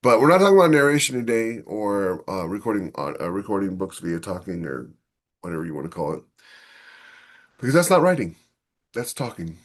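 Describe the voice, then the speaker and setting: A man's voice: fairly low pitch, big pitch swings, a little fast. A man hosting a podcast in casual discussion, thinking aloud conversationally and often checking in with "you know". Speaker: podcast host.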